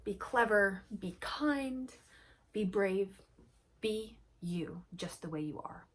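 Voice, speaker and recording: Female storyteller for young kids: husky, medium pitch, highly animated, emphatic, talks directly to the child. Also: slow pace. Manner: expressive, emphatic, addresses children with questions.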